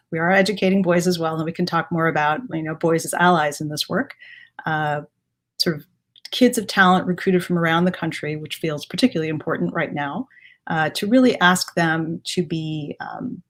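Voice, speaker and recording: A woman from Ethiopia, a guest on a live online panel, speaking in a thoughtful way with many fillers. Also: sits low. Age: adult.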